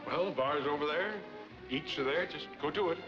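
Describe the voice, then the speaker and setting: An actor playing a suave, hearty middle-aged party host and flirt in a 1940s film. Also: male voice, fairly high pitch, brisk, lightly acted. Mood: hearty, welcoming. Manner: hearty, smooth-talking. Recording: dull 1940s film soundtrack, loud music.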